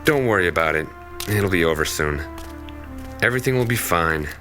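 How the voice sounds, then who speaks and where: A man's voice: deep voice, lively intonation, moderate pace, bright, forceful tone, faint music behind. An actor playing a stern, protective young man in an audio drama, terse and commanding. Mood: earnest, reassuring.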